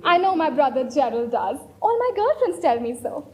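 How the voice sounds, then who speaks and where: A woman acting very high-pitched romantic society young lady. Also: sing-song, moderate pace. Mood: coy, commanding. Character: imperious, romantic young society lady, fanciful and self-assured. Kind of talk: theatrical stage comedy of manners. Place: indoor stage performance. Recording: roomy stage recording with faint noise.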